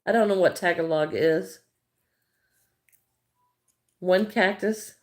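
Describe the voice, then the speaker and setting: A woman's voice: lowish voice, slow. A middle-aged Midwestern American woman chatting casually on a crochet livestream, greeting viewers by name and thinking aloud as she works.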